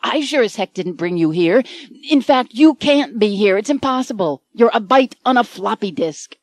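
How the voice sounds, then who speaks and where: A woman's voice: on the high side, animated, normal speed, no room sound, pitched above the performer's own voice. Woman voicing a hungover male writer, flustered and exasperated, dry close sound.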